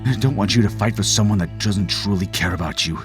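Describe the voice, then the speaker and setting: A man's voice: lowish voice, fast talker, over music, lightly acted. A man playing a stern, bitter middle-aged father in an argumentative, commanding way for an audio drama. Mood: stern, pleading. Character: stern, bitter father, anti-military colonial speaker.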